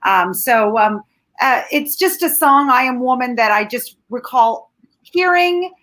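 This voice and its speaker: A woman from Canada whose first language is Ukrainian, giving candid, animated opinions as a film critic. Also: a little high, unhurried. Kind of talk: casual film review chat.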